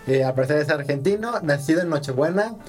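A man's voice: a little high, lively intonation, quick pace, a little mellow. A man with a Mexican accent hosting a casual video game podcast, joking and teasing in slangy speech over background music. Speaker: podcast host. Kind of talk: casual banter about video games.

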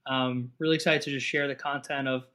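A man's voice: medium pitch, fairly quick. A man hosting a crypto podcast, speaking with eager enthusiasm and frequent fillers. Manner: enthusiastic, repeats "really excited". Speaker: podcast host. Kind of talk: upbeat podcast intro and interview.

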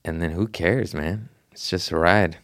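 Deep-voiced goofy comedian man. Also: measured pace, vocal fry, a bit bright, dry recording, studio quality. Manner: profane, goofy, slips into voices and impressions. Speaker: comedian guest. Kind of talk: casual podcast chat.

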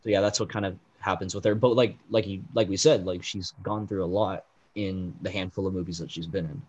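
A man's voice: low voice, a little fast, slightly rough, muffled recording. Rambling casual man chatting on a podcast. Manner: rambling, heavy on "like" and "um" fillers; gets loud and animated when riled up. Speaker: podcast co-host. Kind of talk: casual pop-culture chat.

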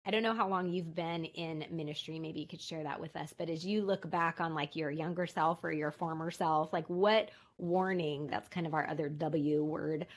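A woman interviewer asking halting questions and affirming her guest's answers. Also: low-pitched. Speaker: podcast interviewer.

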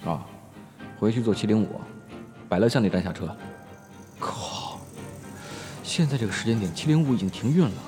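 A man's voice: fairly low pitch, expressive, normal speed, pauses often, music in the background, lightly acted. A young man acting in a Chinese audio drama as a brash, impatient but protective schoolboy with a blunt, slangy way of talking. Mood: worried.

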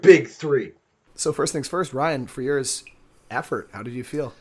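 A man's voice: mid-range voice, moderate pace. A man co-hosting a casual comedy podcast, riffing in mock-earnest banter with some swearing. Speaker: podcast co-host.

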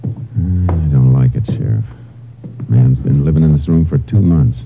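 An American actor playing a calm, methodical Texas Ranger investigator in a 1950s radio drama, with a terse, probing manner. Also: male voice, a bass voice, normal speed, vocal fry, soft background music. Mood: intent. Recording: muffled, noisy 1950s radio recording.

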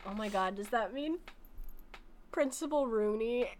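A young woman co-hosting a casual podcast chat, excitable and laughing often. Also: on the high side, unhurried, a little hiss or hum. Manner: giggly, excitable, lots of laughter. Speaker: podcast co-host.

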